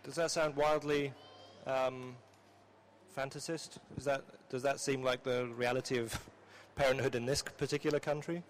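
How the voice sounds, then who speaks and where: Wordy male moderator, medium pitch. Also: little pitch movement, moderate pace, a little hiss or hum. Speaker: discussion moderator. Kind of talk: semi-formal panel discussion.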